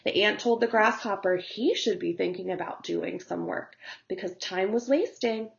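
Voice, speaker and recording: A woman narrating a story for young children as a teacher would, in simple, deliberate phrasing. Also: mid-range voice, gravelly, highs cut off.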